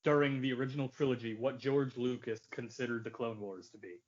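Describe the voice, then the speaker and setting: A man's voice: mid-range voice, steady tone, fairly quick, highs cut off. A man co-hosting a podcast, bantering casually about films. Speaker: podcast co-host.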